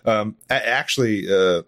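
A man's voice: fairly low pitch, slow, fairly bright tone, dry recording. A man chatting casually as a podcast guest, rambling in a self-deprecating, chuckling way. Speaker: podcast guest, audio drama writer and voice actor. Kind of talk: casual interview chat.

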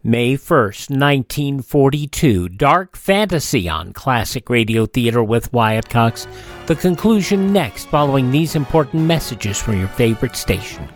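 A man hosting a radio show, announcing and reading ads in a folksy selling manner with emphatic pauses. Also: medium pitch, sing-song, faint music behind. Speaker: radio host. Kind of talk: scripted radio announcing and host-read ads.

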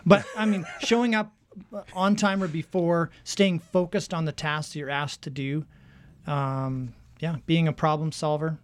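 A man's voice: on the high side, steady tone, measured pace, dry recording. A man from Canada co-hosting a casual talk show in an easygoing, wry way. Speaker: show co-host.

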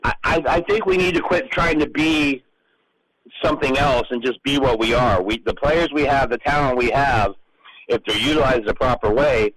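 Male sports radio panelist, mid-pitched, halting and repetitive in casual football talk, background chatter. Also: poor audio. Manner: halting, repeats words as he goes.

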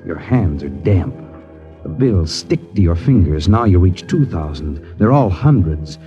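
Ominous 1950s radio suspense narrator, low and swooping, insinuating, old band-limited sound. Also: male voice, lo-fi sound, full character voice.

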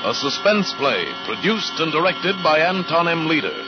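A man's voice: a little high, very animated, moderate pace. Dramatic, swooping 1940s radio announcer, male. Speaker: program announcer. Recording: muffled vintage radio, loud music behind.

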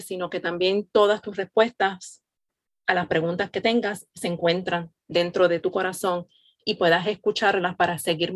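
A woman from Puerto Rico with a Puerto Rican Spanish accent, a meditation instructor introducing herself calmly on a podcast, with frequent fillers. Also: fairly low pitch, dry recording, clean studio sound. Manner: calm, gentle, with frequent fillers.

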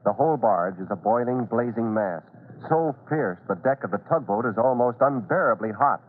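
A man's voice: mid-range voice, lively intonation, moderate pace. A man giving dramatic scripted narration as the announcer of a 1940s radio serial. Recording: tinny vintage radio recording, light noise.

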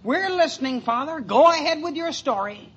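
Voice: high-pitched, animated, normal speed, fairly bright tone, highs cut off. A performer playing an earnest, solemn, pompous boy acting as man of the family in a 1950s radio sitcom. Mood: solemn, indignant. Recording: slightly noisy old radio recording.

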